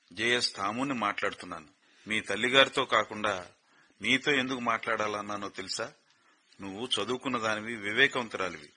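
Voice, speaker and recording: A man's voice: on the low side, pushed and bright, slight background noise. A man playing a grave military commander in a radio play, speaking formally and carefully on the phone. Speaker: military officer.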